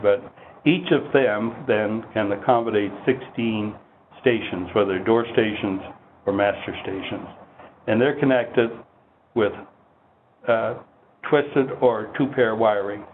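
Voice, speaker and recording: A man presenting technical product training in a measured, explanatory, instructional way. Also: lowish voice. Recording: muffled phone-like line with heavy noise.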